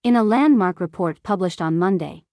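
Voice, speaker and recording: A woman's voice: fairly low pitch, lively intonation, moderate pace, warm tone, no room sound, clean studio sound. A woman reading a formal passage aloud as scripted narration. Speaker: reader of practice passages.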